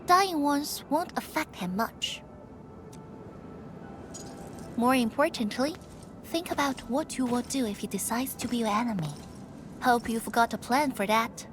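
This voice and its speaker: A woman's voice: fairly high pitch, moderate pace, noisy background, lightly acted. An actress voicing a brusque, haughty game character, a secretly caring female protector with a scolding manner. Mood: brusque, warning. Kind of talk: video game voice acting.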